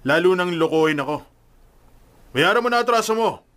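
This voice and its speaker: An actor playing a menacing loan collector in a radio drama, taunting and threatening. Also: male voice, sits high, lively intonation, normal speed, a bit bright. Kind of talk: Filipino radio drama acting.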